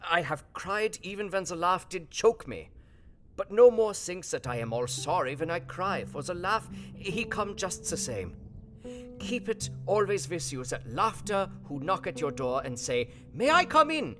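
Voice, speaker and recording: Old professor, slow and swooping. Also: male voice, fairly high pitch. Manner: theatrical, broken English phrasing. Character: old foreign professor, grave, emotional, philosophical. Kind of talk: audio drama acting.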